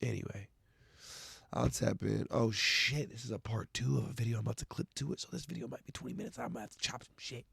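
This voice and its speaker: Young man, raspy, gravelly vlog rant; dry close mic, profane and rambling. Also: mid-range voice, fairly even intonation, quick pace, a bit bright, dry recording. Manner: loose, profane, rambling with restarts. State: raspy voice from the weather. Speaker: vlogger. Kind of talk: casual rant to camera.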